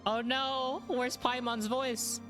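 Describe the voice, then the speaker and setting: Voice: sits high, level tone, takes it slowly, over music. A streamer giving casual, playful reaction commentary to a video game in brief interjections.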